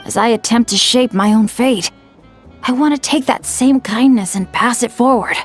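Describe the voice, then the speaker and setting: Earnest, high-voiced young boy adventurer. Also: moderate pace, husky, faint music behind. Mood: hopeful. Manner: sincere, earnest, heartfelt. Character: earnest, optimistic young boy adventurer, grateful and warm-hearted. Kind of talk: scripted anime game voice acting.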